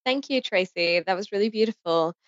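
A woman's voice: medium pitch, fairly quick, no room sound, dull audio. A woman hosting a panel interview in an effusive, conversational way. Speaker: podcast host.

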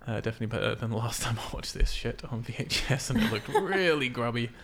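A man's voice: medium pitch, expressive, fast, a bit bright. A man hosting a casual film podcast, explaining things in an informal, sweary way. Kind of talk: casual film discussion from notes.

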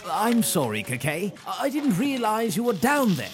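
An actor playing an eccentric, reckless wizard mentor who laughs often and speaks grandly. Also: male voice, high voice, big pitch swings, a little slow, background noise. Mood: gleeful. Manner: theatrical, giggly, grandiose. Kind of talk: fantasy audio drama acting.

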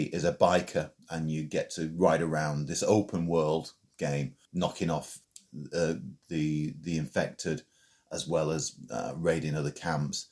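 A man hosting a film podcast, chatting in a relaxed way about what he has been playing. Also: extremely low pitch. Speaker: podcast host. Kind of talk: casual chat.

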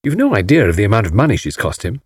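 A man voicing a bright, cheeky young British wife in a breezy, teasing manner for an audiobook. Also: on the low side, huge pitch swings, racing, breathy edge, dry recording, studio quality, heavily acted. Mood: cheerful.

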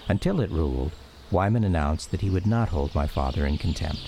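A man's voice: low voice, expressive, fairly quick, gravelly, dark tone, faint music behind. A man performing as a reflective grown son, narrating a scripted radio drama about his father. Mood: measured reflective.

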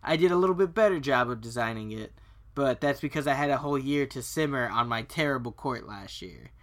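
Bright-voiced man in casual gaming chat. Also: mid-range voice, expressive, fairly quick. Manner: colloquial, sweary, opinionated. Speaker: podcast co-host. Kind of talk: casual chat about video games.

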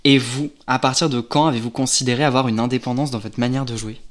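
A man interviewing an actress, reading prepared questions in a measured way. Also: mid-range voice, fairly even intonation.

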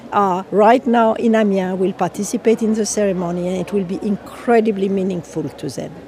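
A woman's voice: mid-range voice, mellow. A woman from France with a French accent, a commission member giving an earnest, formal interview statement outdoors. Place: outdoors at a public event.

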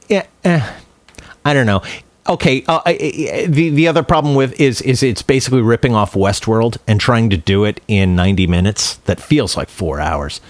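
Man chatting with very animated pitch. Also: no room sound. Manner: profane, opinionated, halting with repeated words. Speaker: podcast co-host. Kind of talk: casual chat about films and music.

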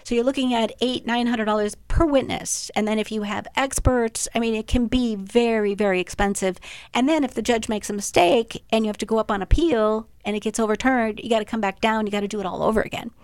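Woman attorney guest, high voice, candid and self-mocking, dry studio sound. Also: fairly even intonation, brisk, a little mellow, smooth, no room sound. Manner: frank, laughs at herself, repeats words. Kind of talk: casual radio interview.

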